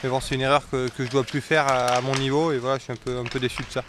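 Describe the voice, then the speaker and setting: A young man athlete talking in a post-race interview outdoors at a snowy venue, measured and pausing often to find his words. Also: mid-range voice, little pitch movement. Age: young adult. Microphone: camera mic close to the face. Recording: outdoor noise in the background.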